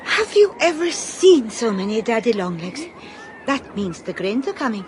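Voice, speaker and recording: High-voiced spirited girl. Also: sing-song, moderate pace, a little mellow. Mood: weary, uneasy. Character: spirited young girl on the run, chatty. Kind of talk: British radio drama acting. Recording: clear outdoor ambience noise.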